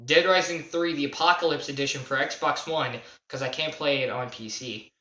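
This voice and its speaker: A young man telling a casual, unscripted gaming story in a halting, self-deprecating way, stressing key words as he goes. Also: fairly high pitch, steady tone, pushed and bright. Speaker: video creator telling a personal gaming story. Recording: roomy, echoey home recording.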